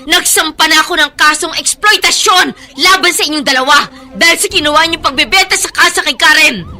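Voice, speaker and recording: Firm, bright-voiced righteous mother. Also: high voice, brisk. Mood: defiant, accusing. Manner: firm, indignant, declamatory. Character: righteous, unyielding widowed mother defending her children. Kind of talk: radio drama acting.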